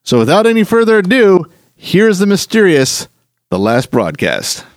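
A man's voice: high voice, huge pitch swings, normal speed, dry recording, clean studio sound. A man hosting a podcast, giving an explanatory spoken introduction. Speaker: podcast host.

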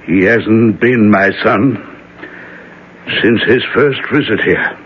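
A slow, solemn reverend. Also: male voice, on the low side, a little hiss or hum. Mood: somber. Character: grave aging reverend father, somber. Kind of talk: 1970s radio drama acting. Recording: dull old radio broadcast.

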